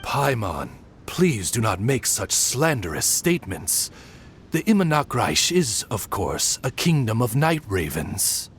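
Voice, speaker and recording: Courtly, expressive raven attendant. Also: male voice, medium pitch, slight background noise. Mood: politely reproving. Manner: formal, deliberate, polite. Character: formal, courtly male raven attendant, politely reproving. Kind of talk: video game character acting.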